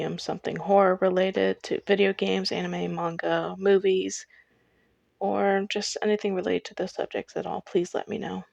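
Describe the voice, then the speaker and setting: Young woman narrator with a husky, creaky voice. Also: medium pitch, a little mellow, dry recording, dull audio. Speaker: video essay narrator. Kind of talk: scripted personal video narration.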